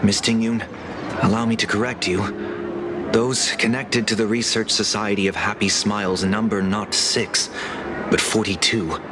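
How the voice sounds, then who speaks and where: A man voicing a composed, mysterious young man who senses minds, speaking in a measured, grave way in a game. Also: on the low side, fairly even intonation, noisy background, natural voice. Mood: calm. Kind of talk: game voice acting. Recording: game audio with loud music.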